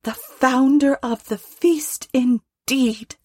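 A woman voicing an indignant, sharp-tongued wife and mother in a storybook, with emphatic, scornful scolding. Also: high-pitched, takes it slowly, husky, no echo, clean studio sound, pitched above the performer's own voice, exaggerated voice.

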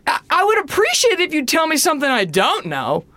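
A woman hosting a podcast in casual chat, with a deep, loud voice and a brash, animated way of talking, swearing freely. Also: quick pace, noisy background. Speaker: podcast host.

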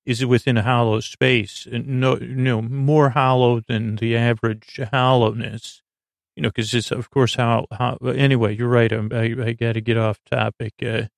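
Creaky-voiced man, rambling bedtime podcast host. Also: mid-range voice, flat delivery, no room sound, clean studio sound. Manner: meandering, halting, self-interrupting with tangents and stammers.

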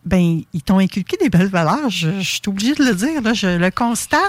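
A woman's voice: medium pitch, expressive, slight background noise. A woman with a Québécois accent hosting a casual radio interview, chatty and enthusiastic. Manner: enthusiastic, chatty, quick bursts. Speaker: radio host.

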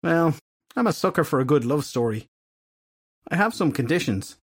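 A fierce fairy goddess, put on by a man reading a story, imperious and haughty. Her voice sits well above his own, with a husky edge. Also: a little high, big pitch swings, normal speed, voice pushed up, heavily acted.

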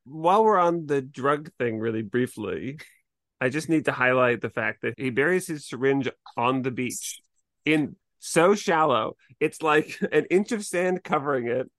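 Podcast host chatting away, medium pitch, dry close mic, animated retelling. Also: a little slow, dry recording. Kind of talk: casual discussion of a TV episode.

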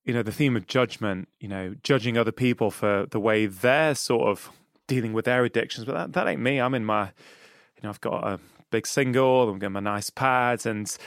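A man's voice: medium pitch, normal speed, no room sound, studio quality. A man from England with a Manchester accent, hosting a podcast interview in a thoughtful, earnest way. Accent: Mancunian English. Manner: thoughtful, earnest, frequent "you know". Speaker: podcast host. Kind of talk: reflective interview conversation.

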